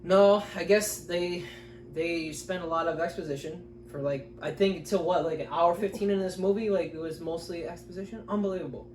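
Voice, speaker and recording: Male co-host with a slightly high voice, wry film critic chat, roomy sound with faint hiss. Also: fairly even intonation, normal speed. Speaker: movie review co-host. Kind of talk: casual back-and-forth movie review chat. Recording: roomy, distant mic with faint noise.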